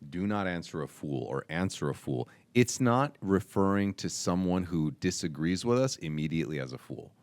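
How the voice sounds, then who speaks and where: A lower-voiced pastor in his thirties talks with big swings of pitch, dropping soft and then rising into emphatic bursts. The sound is dry and close, with no room around him. He explains things in a relaxed, conversational way. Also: normal speed, hardly any pauses, no room sound. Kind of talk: casual chat.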